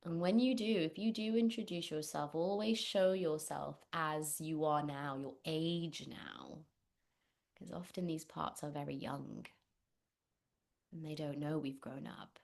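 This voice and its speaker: Slow, low-pitched woman guiding meditation. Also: creaky. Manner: gentle, unhurried, with long pauses. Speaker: meditation guide, therapist. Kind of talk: guided meditation, spoken instruction.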